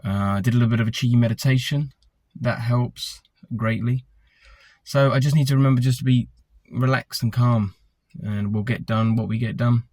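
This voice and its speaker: A man, a singer-songwriter, chatting casually about his nerves and warm-ups before a vocal session, reassuring himself. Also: mid-range voice, normal speed, no room sound. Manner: nervous but self-calming, talking himself down. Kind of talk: casual pre-session chat.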